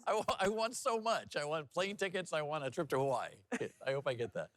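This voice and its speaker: A man's voice: fairly high pitch, expressive, a little fast, a bit bright. A man working as a news anchor, presenting headlines and slipping into casual, jokey banter. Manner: jokey, conversational.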